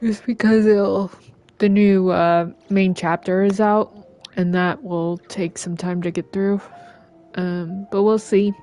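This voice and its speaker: Slow, casual woman streamer. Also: medium pitch, steady tone, warm tone. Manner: halting, thinking aloud. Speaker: game livestreamer. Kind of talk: casual livestream chat. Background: faint game music.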